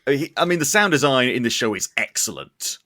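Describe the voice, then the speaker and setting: A man hosting a casual interview podcast, with a very animated, wide-ranging pitch. He is enthusiastic and full of opinions, and the sound is dry and close. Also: fairly quick, dry recording. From Britain. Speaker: podcast host.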